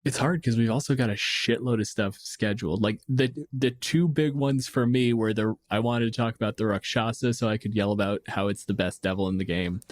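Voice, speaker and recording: Male podcast co-host, fairly low voice, close dry sound, blunt and emphatic casual talk. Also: steady tone, a little fast, hardly any pauses, no echo. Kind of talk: casual opinionated tabletop gaming chat.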